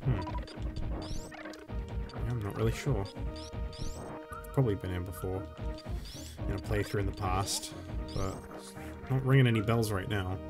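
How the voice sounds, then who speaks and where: A man rambling casually and swearing while playing a game, his voice going hoarse. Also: lowish voice, a little slow, long pauses, loud music behind the voice. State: losing his voice. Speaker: gaming YouTuber. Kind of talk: casual let's-play commentary.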